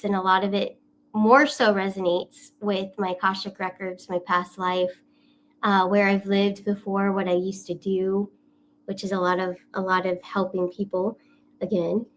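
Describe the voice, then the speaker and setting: Chatty woman vlogger, mid-pitched. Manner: rambling, many fillers and restarts, enthusiastic. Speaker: psychic and astrology channel host. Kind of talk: casual chatty vlog to viewers.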